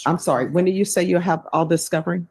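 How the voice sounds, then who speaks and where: A woman judge in a courtroom, friendly and plainspoken, spelling out clear step-by-step instructions. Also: on the low side, quick pace, darkish tone. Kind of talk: presiding over court hearings.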